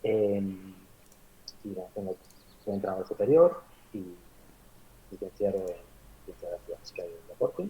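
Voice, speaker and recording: A man's voice: low voice, moderate pace, pauses often, muffled recording. A man in his forties from Madrid, a basketball coach answering interview questions thoughtfully, with many hesitations and restarts. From Madrid, Spain. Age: 40s. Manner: reflective, frequent hesitations and restarts.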